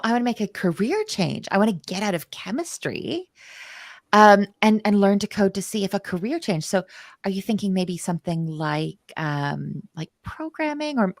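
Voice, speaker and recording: A woman's voice: medium pitch, no echo. A woman co-hosting a livestream, talking in a bubbly, playful way. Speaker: co-host. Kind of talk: casual livestream chat.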